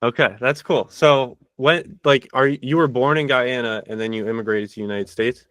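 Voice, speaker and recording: A man hosting a podcast, interviewing guests casually with energetic questions and frequent restarts. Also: mid-range voice, normal speed, dry recording. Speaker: podcast host.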